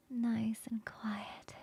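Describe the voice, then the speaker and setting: A woman voicing a flirty female coworker in an ASMR roleplay, close to the mic in a soft, playful whisper. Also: a little high, gravelly, husky, heavily acted.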